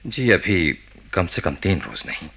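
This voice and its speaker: A man acting a grave, reserved doctor in a radio play, husky-voiced, measured and courteous. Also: low-pitched, sing-song, a bit bright, heavily acted. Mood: guarded, courteous. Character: grave, guarded male doctor recounting a case. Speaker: doctor and narrator character.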